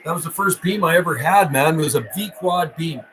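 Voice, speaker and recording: A man's voice: on the high side, brisk, fairly bright tone. An older man, chatting casually and calling out as a CB radio operator on a livestream, in a folksy way with repeated radio phrases. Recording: roomy, distant mic, faint noise.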